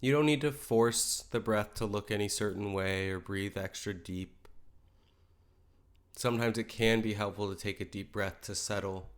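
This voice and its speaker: A man leading a guided meditation in a calm, gentle, unhurried way, letting his phrases settle softly. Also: lowish voice. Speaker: meditation teacher.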